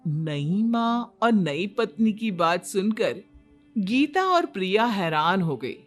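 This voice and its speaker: A woman's voice: medium pitch, big pitch swings, takes it slowly, soft background music. A woman reading scripted narration for a moral cartoon story as its narrator.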